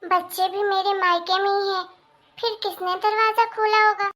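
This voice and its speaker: Meek, high-voiced scheming young cartoon wife, woman acting. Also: little pitch movement, normal speed, fairly bright tone. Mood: worried. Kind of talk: animated family melodrama acting.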